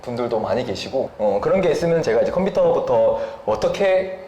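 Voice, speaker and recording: A man's voice: medium pitch, very animated, a little fast, warm tone, muffled recording. A man giving a polite explanation as an interview guest, often humming briefly between phrases. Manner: polite, frequent short hums between phrases. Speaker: software developer, interview guest. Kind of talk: explanatory interview talk about a product. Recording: roomy echo, faint background noise.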